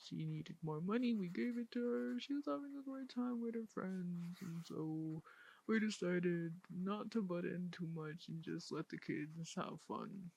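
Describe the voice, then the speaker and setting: A woman voicing a meek, agreeable father in a soft-spoken ASMR roleplay, with halting delivery. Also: on the low side, creaky, husky, heavily acted, rough recording. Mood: meek, defensive.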